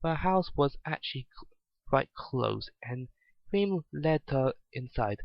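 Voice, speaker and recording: A man giving an amateur dramatic story reading, halting and stumbling over words as he narrates. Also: mid-range voice, expressive, creaky, no echo, dull audio. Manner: halting, stumbles and openly remarks on slips. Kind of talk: dramatic reading of fan fiction.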